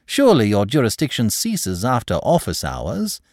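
Here is a man acting out an unflappable young gentleman clerk from a storybook. He is mock-courteous and ingratiating, his flowery sentences swooping widely in pitch, and he sounds close and dry. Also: wildly swooping pitch, normal speed, no room sound. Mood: smooth, self-assured. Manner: flowery, ingratiating, over-polite speech. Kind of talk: audiobook character dialogue.

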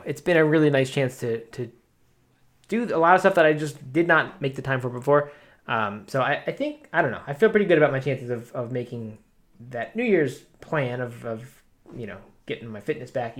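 A man's voice: mid-range voice, a bit bright. A man in his late thirties co-hosting a podcast, talking casually and rambling with lots of fillers and restarts. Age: 30s. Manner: rambling, self-deprecating, many fillers. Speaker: podcast co-host. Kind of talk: casual chat.

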